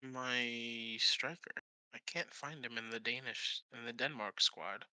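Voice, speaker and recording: A man's voice: mid-range voice, fairly even intonation, a little slow, pushed and bright, clear, resonant tone, no echo, low-quality recording. A man co-hosting a live game stream, making short offhand remarks with dry humor. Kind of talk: casual live-stream chat.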